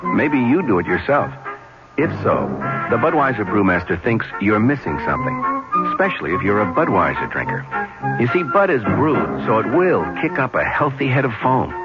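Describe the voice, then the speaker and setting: A man reads a radio commercial in a friendly, persuasive voice. His pitch moves a lot. Loud music plays under it, and the old broadcast sounds muffled. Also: huge pitch swings, moderate pace. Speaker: ad announcer.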